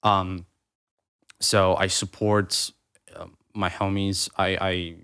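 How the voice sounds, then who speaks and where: Low-voiced thirties man, Russian-native, rambling reflective guest speaking English. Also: little pitch movement, slow, a bit bright, smooth. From Ukraine. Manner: rambling, restarts mid-thought, many fillers. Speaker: podcast guest, writer. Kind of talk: reflective conversational interview.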